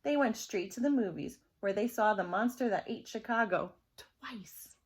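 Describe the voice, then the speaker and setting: A woman storyteller reading a children's book aloud in an expressive, dramatic style. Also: medium pitch, normal speed.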